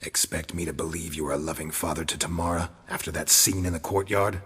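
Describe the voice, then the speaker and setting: An actor playing a stern, cynical monster hunter in a video game, with a gravelly rasp and a terse, dry, sardonic manner. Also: male voice, deep voice, little pitch movement, quick pace, a bit bright, exaggerated voice. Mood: sceptical.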